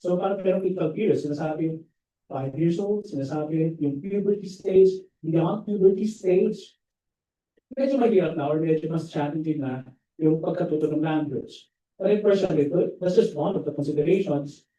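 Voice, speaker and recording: A man's voice: fairly high pitch, fairly quick, warm tone. A Filipino man in his forties giving a university talk, mixing languages and thinking aloud with frequent tag questions. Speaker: guest lecturer. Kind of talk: academic talk, code-switching. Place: university lecture hall. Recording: echoey lecture hall from far off, dull sound.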